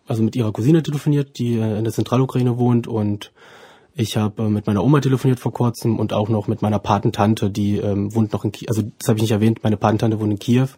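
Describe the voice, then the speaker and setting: A man's voice: lowish voice, steady tone, fast talker, warm tone, husky, no echo. A man in his twenties from Ukraine who grew up partly in Germany, answering interview questions hesitantly and often correcting himself. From Ukraine, then Germany from about age seven. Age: 20s. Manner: hesitant, searching for words, self-correcting. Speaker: interview guest, student. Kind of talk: informal personal interview answers.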